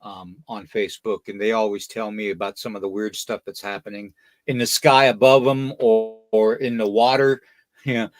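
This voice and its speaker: A man's voice: lowish voice, little pitch movement, normal speed, no echo. A man hosting a casual live chat show, talking in a friendly, rambling way and repeating his phrases. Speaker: podcast host. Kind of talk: casual live chat, greeting and asking listeners.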